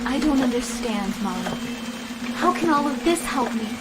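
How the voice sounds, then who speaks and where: An actress playing an earnest, determined young woman seafarer in a game cutscene, speaking deliberately. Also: on the high side, animated, very noisy, natural voice. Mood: troubled, hesitant. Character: young female foreign seafarer, earnest, determined, turning vengeful. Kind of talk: dramatic game cutscene narration and dialogue. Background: music.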